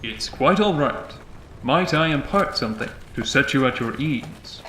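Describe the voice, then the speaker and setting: An actor playing a calm, courteous and learned armored traveler in a fantasy audio drama, formal and measured. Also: male voice, mid-range voice, slight background noise. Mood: reassuring.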